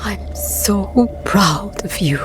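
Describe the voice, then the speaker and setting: A woman playing a controlling mother in a game cutscene, speaking slowly and deliberately with an eerie softness. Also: mid-range voice, lively intonation, music in the background. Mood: eerie tenderness. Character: stern mother, eerily tender, coldly manipulative. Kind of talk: dramatic game cutscene acting.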